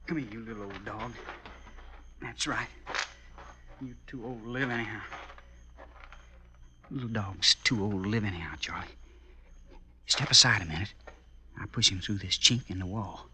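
An actor playing a nervy, chattering young outlaw in a classic radio western, with a folksy, excitable way of talking. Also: male voice, lowish voice, normal speed, long pauses, slight background noise. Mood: scheming.

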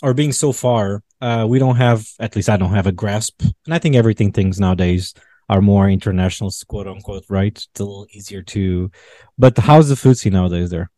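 A man's voice: fairly low pitch, a little fast, dark tone. A man from Portugal hosting an interview, asking friendly, teasing questions in casual chat. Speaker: interview host.

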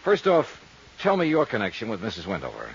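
A man's voice: lowish voice, huge pitch swings, fairly quick, vocal fry, breathy edge, heavily acted. A man playing a wry American insurance investigator in 1950s radio detective style, clipped, dry and businesslike in dialogue and narration. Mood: firm, probing. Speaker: lead actor and narrator. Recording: dull 1950s radio recording, faint music.

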